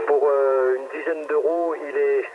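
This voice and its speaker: A man's voice: on the high side, fairly even intonation, unhurried. A talkative man chatting informally about technical gear as an amateur radio operator, heard through a radio receiver. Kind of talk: casual technical chat over radio. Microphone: cheap radio microphone heard through transceiver speaker. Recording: muffled noisy radio reception, distant.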